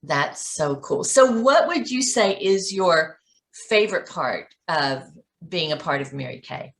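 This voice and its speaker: A woman's voice: on the low side, lively intonation, unhurried. A woman hosting an interview in an upbeat, encouraging way. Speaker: host, sales director. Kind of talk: casual interview.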